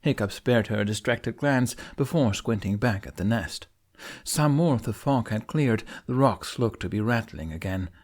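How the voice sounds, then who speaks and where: A man narrating a fantasy adventure audiobook in an expressive, scripted storytelling style. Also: fairly low pitch, moderate pace.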